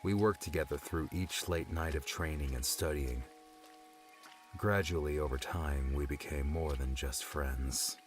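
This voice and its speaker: Casting: haunted, tender young adventurer played by a male narrator, extremely deep voice, reflective and halting, music underneath. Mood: wistful, tender.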